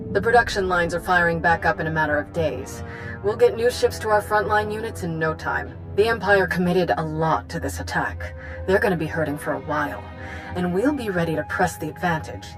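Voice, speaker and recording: An actress playing a brisk, confident, wry woman chancellor in a science fiction video game. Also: lowish voice, close to an everyday voice. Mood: upbeat, confident. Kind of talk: video game cutscene acting. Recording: music under the voice.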